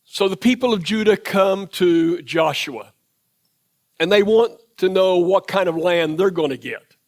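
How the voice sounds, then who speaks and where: A man's voice: high-pitched, measured pace. A man with a Southern US accent preaching a sermon in church, reflective and retelling scripture in his own words. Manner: reflective, paraphrases scripture in first person. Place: church sanctuary.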